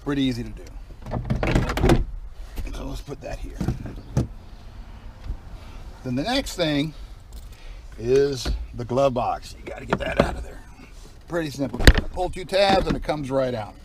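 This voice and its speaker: A man's voice: medium pitch, expressive, measured pace, long pauses, very noisy. A man giving a folksy, informal hands-on tutorial in a casual, reassuring way while working in a vehicle. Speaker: DIY vlogger demonstrating a car repair. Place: inside or beside a Jeep.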